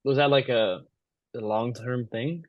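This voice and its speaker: Young guy hosting a podcast on a video call, casual chat, medium pitch, no room echo. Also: unhurried, highs cut off. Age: young adult. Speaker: podcast host.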